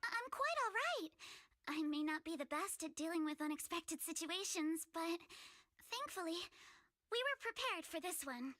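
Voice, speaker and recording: A woman's voice: very high-pitched, big pitch swings, normal speed, bright, forceful tone, dry recording, exaggerated voice. An actress voicing a polite, earnest young girl from a wealthy family in a game, speaking formally. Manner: formal, courteous.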